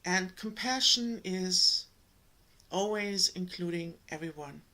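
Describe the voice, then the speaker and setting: A woman from Germany with a German accent, giving a slow, gentle spiritual talk to her viewers, pausing often. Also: on the low side. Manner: slow, gentle, with many pauses and ums. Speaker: spiritual coach.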